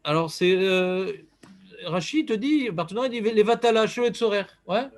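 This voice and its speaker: Joking high-voiced man teaching a class. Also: normal speed. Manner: joking, banters with students, animated. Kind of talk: informal Torah class with questions to students.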